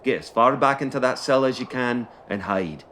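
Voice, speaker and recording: A gruff guard, played by a man in an audio drama, barking plain-spoken warnings and orders. He is blunt and rough-tongued, with some noise and ambience around the voice. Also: mid-range voice, lively intonation, normal speed, fairly bright tone, lightly acted. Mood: low, grave urging. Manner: commanding, colloquial, sweary, plain-spoken. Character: gruff, blunt male escort guard warning boys about prison.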